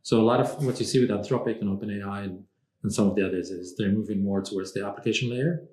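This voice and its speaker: A low-voiced man originally from Morocco answers interview questions in a measured, thoughtful way, pausing often with "uh". The recording has a roomy echo around him. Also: a little mellow. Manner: measured, thoughtful, frequent "uh" pauses. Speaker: podcast guest, strategy consultant. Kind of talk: conversational interview.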